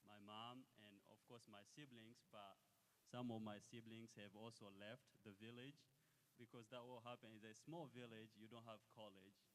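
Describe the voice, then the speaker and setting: A man's voice: fairly low pitch, fairly even intonation, moderate pace. A young man from Congo whose first language is Kihavu explains things earnestly as a guest. His voice carries a long hall reverb. A faint hiss sits under it. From Democratic Republic of the Congo. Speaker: guest speaker. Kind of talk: church interview answers. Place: church hall.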